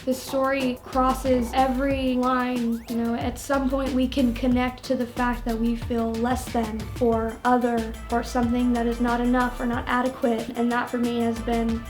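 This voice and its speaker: A woman's voice: a little high, level tone, normal speed, hardly any pauses, darkish tone, soft background music. A woman producer speaking in a behind-the-scenes interview, reaching for vivid comparisons.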